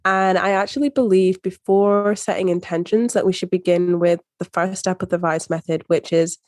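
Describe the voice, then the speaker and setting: A woman with a faint, neutral Scottish accent speaks as an interview guest, explaining her ideas in a measured, coaching way. Her voice sits a little low. The sound is close and dry. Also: fairly even intonation, darkish tone, no room sound. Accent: soft, hard-to-place Scottish. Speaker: mindset coach, podcast guest.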